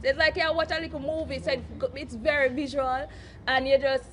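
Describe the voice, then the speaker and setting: A woman's voice: sits high, a little fast. A woman with a Jamaican accent talking animatedly about scripture. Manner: animated, excited. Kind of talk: interview comments.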